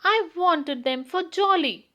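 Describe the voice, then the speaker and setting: Little teddy bear cub for a storybook , played by a woman: high, eager, playful, very animated. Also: slow pace, full character voice. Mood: dismayed. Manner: exclaiming, eager. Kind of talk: children's storybook character voice.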